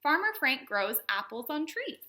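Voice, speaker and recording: A woman hosting a storytime for young children, teaching in simple words with an animated, sing-song manner and questions to her small viewers. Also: high-pitched, moderate pace. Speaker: children's librarian, storytime host.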